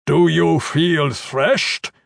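A man's voice: on the high side, fairly even intonation, very slow, gravelly, dry recording, studio quality, voice pushed up, exaggerated voice. A man voicing a blunt, impatient military commander who talks in curt demands.

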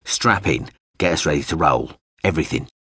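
A commanding male starship captain in a science fiction audiobook, played by a male reader. The voice is low and husky. The delivery is terse and clipped, like someone in charge under pressure. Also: animated, a little fast, sharp tone, no echo, clean studio sound, exaggerated voice. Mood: curt.

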